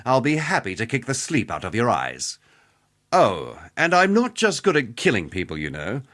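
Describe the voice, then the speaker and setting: A man's voice: medium pitch, wildly swooping pitch, moderate pace, fairly bright tone. A man playing a cocky, playful veteran fighter in a video game, jaunty and teasing, with relish for a brawl. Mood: genial, boastful. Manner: jaunty, teasing, colloquial swagger. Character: cocky, playful veteran male arena fighter, jovially bloodthirsty. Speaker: video game character voice actor. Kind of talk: scripted game character dialogue.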